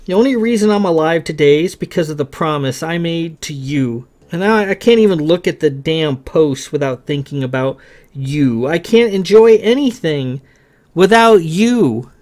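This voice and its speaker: A man's voice: a little high, expressive, normal speed, a little mellow, pitched above the performer's own voice, natural voice. A man reading a story, voicing a young man who pleads and apologizes in raised, stumbling bursts. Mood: pleading.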